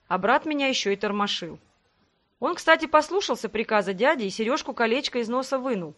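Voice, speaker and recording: A woman narrating a first-person fiction audiobook in an expressive, scripted reading style. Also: on the high side.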